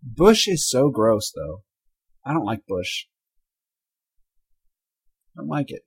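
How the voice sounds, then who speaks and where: Casting: male podcast co-host, husky voice, lively swings in pitch, casual banter. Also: takes it slowly. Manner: animated, jumps between loud and quiet. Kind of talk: casual chat.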